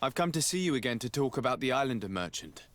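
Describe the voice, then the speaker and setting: A man's voice: mid-range voice, big pitch swings, natural voice. Composed young nobleman played by a man, formal speech. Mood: courteous, composed. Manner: formal, measured. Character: polite, resolute young nobleman diplomat. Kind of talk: video game cutscene acting.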